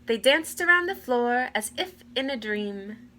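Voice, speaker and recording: A woman reading a fairy tale aloud to children with deliberate, expressive phrasing. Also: on the high side. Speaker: read-aloud storyteller. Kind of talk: expressive children's story narration. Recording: clear background noise.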